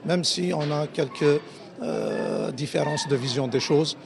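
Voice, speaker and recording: A man's voice: fairly high pitch, measured pace, creaky. A man giving a spontaneous interview as a participant at a community event. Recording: crowd noise behind the voice.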